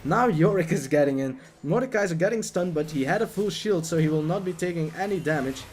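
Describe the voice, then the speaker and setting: A young man with a Dutch accent giving excitable, jokey live commentary on a video game, talking to the players on screen. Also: fairly high pitch, fairly quick, slight background noise.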